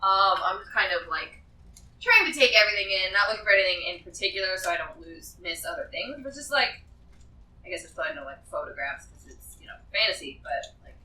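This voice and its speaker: A woman's voice: medium pitch, lively intonation, fast, bright, forceful tone. A woman bantering casually as a player in a tabletop game. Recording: roomy, noisy, distant group mic.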